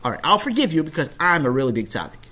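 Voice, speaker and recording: A man voicing an excitable, indignant and self-righteous young boy in a children's story, dramatic and husky. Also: a little high, rapid-fire, dull audio, pitched above the performer's own voice, exaggerated voice.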